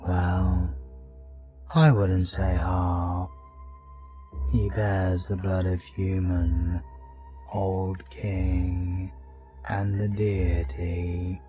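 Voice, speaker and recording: An actor playing a cold, scheming dark deity in a fantasy audio drama, condescending and menacing, with drawn-out deliberate delivery. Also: male voice, low voice, flat delivery, faint music behind. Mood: scheming, triumphant.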